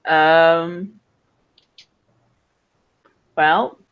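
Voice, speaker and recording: A woman's voice: low-pitched, steady tone, drawn-out words, clear, resonant tone. A woman playing a tabletop game, describing her character's moves in a drawling, self-mocking way. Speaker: player. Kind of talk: tabletop role-play. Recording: dull lo-fi call audio.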